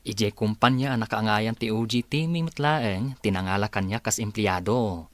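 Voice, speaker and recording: An actor with a Filipino accent playing a self-assured, casual young engineer in a radio drama. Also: male voice, on the low side, moderate pace, fairly bright tone, no echo, natural voice. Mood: confident.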